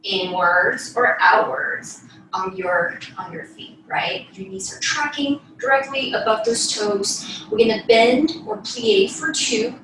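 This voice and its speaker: A woman's voice: on the high side, sing-song, takes it slowly. A young woman giving step-by-step instructional class talk as a ballet teacher, demonstrating as she speaks. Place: small bedroom, indoors. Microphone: far camera across the room. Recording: echoey room, distant mic, faint noise.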